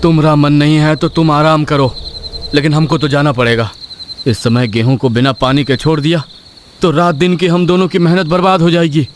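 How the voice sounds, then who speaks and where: A man voicing a hardworking village farmer husband with a Bihari accent, colloquial and reassuring, in an animated horror story. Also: a little high, a little fast, a little hiss or hum, pitched above the performer's own voice, natural voice. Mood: firm, caring. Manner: colloquial, reassuring, matter-of-fact.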